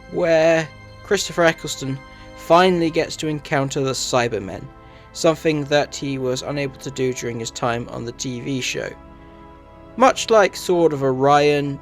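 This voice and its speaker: A male reviewer reads scripted commentary in a measured, matter-of-fact manner. His voice sits a little high, with faint music playing underneath. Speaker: video reviewer.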